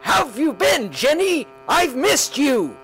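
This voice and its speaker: An earnest comic-book superhero, high and forceful. The voice is rough and strained, with bombastic, melodramatic swings. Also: male voice, very animated, slow pace, a little hiss or hum, heavily acted. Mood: heroic. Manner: hammy, melodramatic.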